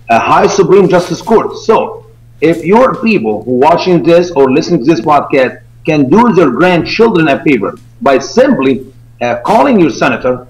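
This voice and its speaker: A man from Egypt with an Egyptian accent, a native Arabic speaker, explaining things earnestly and emphatically as an interview guest. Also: on the high side. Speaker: interview guest, evangelical ministry founder. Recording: dull lo-fi call audio.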